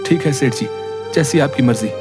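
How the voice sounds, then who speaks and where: Highish plain-spoken village workman man acting. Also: fairly quick, over music, lightly acted. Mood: pleading, resigned. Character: plain-spoken village working men: vendor, servant, cart driver. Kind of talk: animated moral-story cartoon acting.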